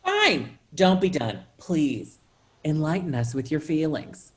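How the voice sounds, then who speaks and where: A woman's voice: low voice, sing-song, slow pace, exaggerated voice. A woman playing a blunt, callous and mocking office worker in a staged play reading.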